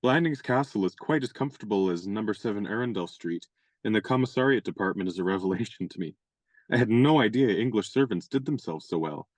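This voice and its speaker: Male actor as a courtly, wordy young gentleman; radio play, dry close sound. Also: fairly low pitch, animated, fast talker, no room sound. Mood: genial. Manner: courtly, elaborate, playfully pompous phrasing. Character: genial young gentleman adventurer, chivalrous and wordy.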